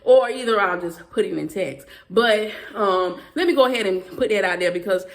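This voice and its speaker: A Southern woman speaking to her viewers in a heated casual commentary, talking fast and emphatically with frequent "okay"s and restarts. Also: mid-range voice, lively intonation. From Southern United States. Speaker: YouTuber.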